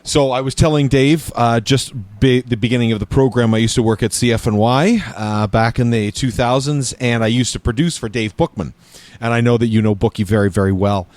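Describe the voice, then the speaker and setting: A man's voice: medium pitch, fairly even intonation, brisk, darkish tone, no echo. A man who co-hosts a radio show and used to be a radio producer, telling an eager, chatty anecdote. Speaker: radio co-host, former producer. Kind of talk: casual chat.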